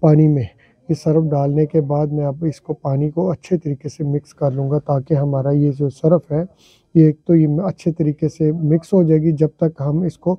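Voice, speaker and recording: A man's voice: on the high side, breathy edge, no room sound, lo-fi sound. A middle-aged man in a home garage, chatting to viewers as he walks them step by step through a car wash, recorded on a phone. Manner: chatty, explaining step by step, addressing viewers politely. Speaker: vlogger. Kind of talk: casual how-to narration.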